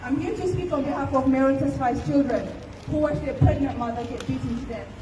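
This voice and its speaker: High-voiced woman giving an impassioned rally speech. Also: fairly quick, background noise. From Ethiopia. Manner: earnest, emphatic, pained. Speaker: rally speaker. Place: outdoor rally. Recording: distant, echoey outdoor recording.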